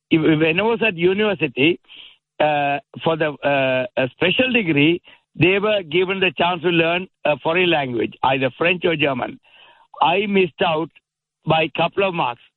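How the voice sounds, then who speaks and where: A man in his seventies calling in with a Sri Lankan accent, his first language Tamil. He speaks in a slightly high voice and hesitates often, with many fillers. The sound is tinny and dry, like a phone line. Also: no room sound. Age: 70s. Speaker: caller. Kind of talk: phone-in call.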